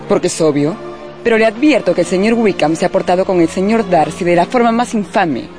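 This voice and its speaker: A woman's voice: mid-range voice, brisk, a little mellow, faint music behind, natural voice. A woman playing a disagreeable, haughty young society lady in a radio drama, snobbish and cutting. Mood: condescending.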